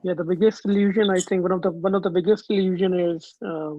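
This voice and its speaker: A woman's voice: lowish voice, steady tone, fast talker, mellow, dry recording. A woman taking part in a group class and offering her answer, heard over lo-fi call audio. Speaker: class participant.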